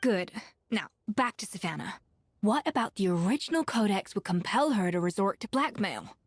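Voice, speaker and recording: A woman voicing a confident female head chef with dry, withering wit and a calm, commanding manner. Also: medium pitch, normal speed, slightly rough, dry recording. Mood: composed. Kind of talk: game voice acting. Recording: game audio with soft music.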